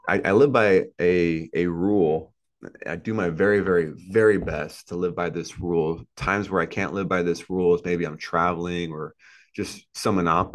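A man in his thirties speaking earnestly and emphatically as an interview guest, repeating phrases to drive his motivational points home. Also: low-pitched. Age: 30s. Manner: earnest, emphatic, repetitive phrasing with rhetorical tags. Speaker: podcast guest, entrepreneur.

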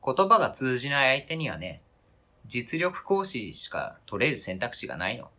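A man's voice: medium pitch, big pitch swings, moderate pace, bright, forceful tone. A man acting in a radio drama reading as a wounded, indignant adult who argues with cold, pedantic logic and interrogates relentlessly. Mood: accusing. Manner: pedantic, interrogating, formal argumentative phrasing.

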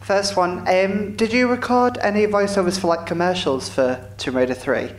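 Fan questioner: mid-pitched, giggly and chatty, echoey venue. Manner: chatty, giggly, quick asides. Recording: echoey hall, room noise.

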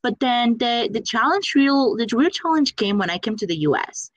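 A woman's voice: fairly high pitch, sing-song, normal speed, dry recording, muffled recording. A young woman from the Dominican Republic, a Spanish speaker, answering interview questions about her career coaching firm with enthusiasm and many fillers.

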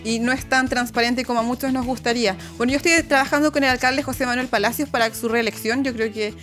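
A woman's voice: on the high side, steady tone, fast talker, a bit bright, faint music behind. A Chilean woman speaking as a political candidate in a casual campaign interview, explaining her plans.

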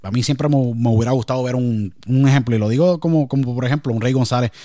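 A talkative Puerto Rican man with a middle-pitched voice, speaking in an emphatic, conversational way. He keeps asking if the other person follows him. The sound is dry and close. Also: fast, warm tone, dry recording. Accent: Puerto Rican Spanish. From Puerto Rico. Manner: talkative, emphatic, repeats "me entiendes". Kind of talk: casual conversation.

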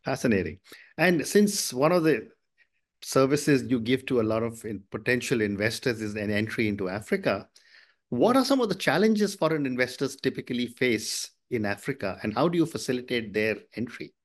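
A man hosting an interview podcast, speaking politely with formal introductions and frequent soft acknowledgments. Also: medium pitch, sing-song, normal speed. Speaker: podcast host.

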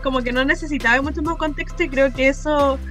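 Woman podcast host, high and bright, asks questions, faint music bed. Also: steady tone, quick pace. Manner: guides discussion with questions. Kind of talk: casual chat.

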